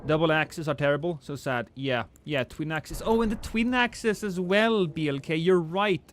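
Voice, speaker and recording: A man's voice: on the high side, moderate pace. A Norwegian man with a slightly British-tinged accent, chatting casually while streaming a game and reading chat, often restarting sentences. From Norway. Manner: chatty, self-deprecating, many restarts. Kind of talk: casual gameplay livestream chat.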